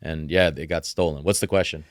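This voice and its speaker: A man from Brazil co-hosting a podcast, answering trivia in a relaxed, unsure way. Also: very deep voice, brisk, dry recording, clean studio sound. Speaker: podcast co-host. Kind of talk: casual chat.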